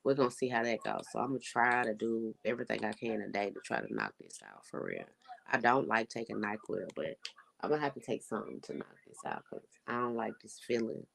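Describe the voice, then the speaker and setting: Stuffy-nosed young adult with a gravelly voice. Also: mid-range voice, sing-song, a little fast, a little hiss or hum, rough recording. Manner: rambling, laid-back talk with many fillers. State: stuffy, congested nose. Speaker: vlogger. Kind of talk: casual vlog update.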